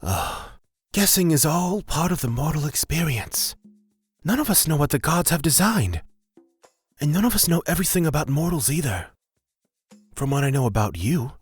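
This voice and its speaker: A man's voice: a little high, husky. A man playing a humble, laid-back elf wanderer in a fantasy audio drama, reflective and probing.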